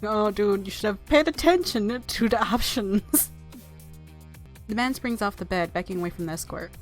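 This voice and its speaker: Woman let's player, mid-pitched voice, playful, casual, reading game narration, soft music behind. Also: lively intonation, normal speed. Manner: playful, giggly commentary. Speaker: let's play YouTuber.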